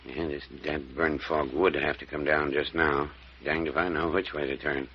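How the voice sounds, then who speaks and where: A dull, slightly noisy vintage radio drama recording. an older rural American doctor with a low voice and a folksy, fatherly drawl. He is gloomy and grumbling, speaking in homespun country phrases. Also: steady tone, normal speed. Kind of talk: 1930s-40s American radio serial acting.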